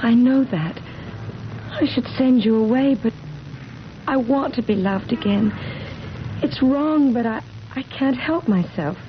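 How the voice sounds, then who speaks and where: A woman's voice: fairly high pitch, a little slow, pauses often, close to an everyday voice. A woman acting in a 1970s radio drama as a young, fatalistic woman longing for love, earnest and melodramatic. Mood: yearning. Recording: dull, noisy vintage radio recording.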